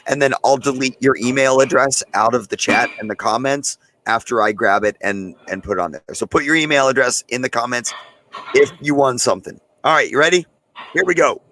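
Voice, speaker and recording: Hoarse man hosting a livestream. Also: mid-range voice, a little fast, pushed and bright, slight background noise. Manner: loose and chatty, talking straight to viewers in the chat. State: hoarse, losing his voice. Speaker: host of a live gun channel stream. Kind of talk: casual livestream chat.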